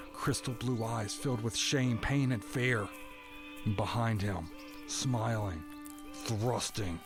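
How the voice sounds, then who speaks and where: A man playing a haunted escaped convict who narrates his past solemnly in an audio drama, in measured literary phrases. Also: on the low side. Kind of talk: first-person dramatic monologue in audio drama.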